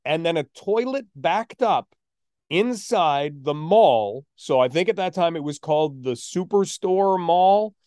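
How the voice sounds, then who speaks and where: A man with a slightly high-pitched voice hosts a sports podcast. He talks like a practised announcer spinning a story, building it deliberately with emphasis. The sound is dry, with very little room in it. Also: expressive, a little mellow, no echo.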